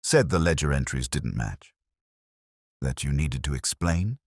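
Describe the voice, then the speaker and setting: Man playing a brash, deep-voiced private detective. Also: expressive, a little slow, dry recording, studio quality, exaggerated voice. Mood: cocky. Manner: cocky, pressing, blunt.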